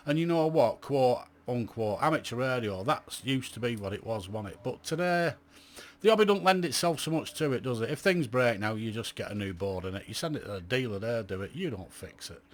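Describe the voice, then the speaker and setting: A middle-aged man, talking to viewers in a blunt, chatty, rambling vlog about his amateur radio hobby and asking them many questions. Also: mid-range voice. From England. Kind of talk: casual vlog monologue to viewers.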